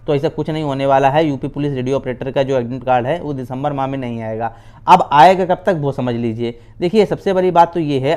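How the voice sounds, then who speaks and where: A man's voice: mid-range voice, darkish tone, clear, resonant tone. A man giving an informal explainer as a video host, repeating himself and often using filler phrases and check-in tags. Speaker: YouTube host giving exam updates. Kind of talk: informal explainer addressed to exam candidates.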